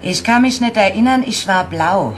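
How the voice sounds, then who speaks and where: An actress playing a defiant, foul-mouthed masseuse in a 1970s crime radio play, brash and slangy, snapping at people. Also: female voice, medium pitch, normal speed, natural voice. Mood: sullen defiant. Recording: old radio recording, slight noise.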